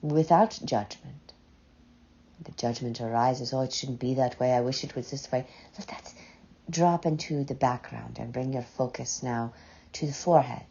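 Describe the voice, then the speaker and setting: A woman leading a guided meditation in a soft, breathy voice, gently and unhurriedly, with long pauses between short phrases. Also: extremely low pitch. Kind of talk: slow, soothing guided meditation. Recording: dull, muffled lo-fi sound.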